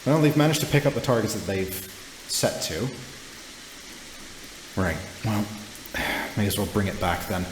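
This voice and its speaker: A man's voice: fairly low pitch, expressive, a little slow, pauses often, reverberant, slight background noise, rough recording. A man voicing casual, businesslike criminal henchmen in a tabletop game, offhand and weary. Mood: businesslike, resigned.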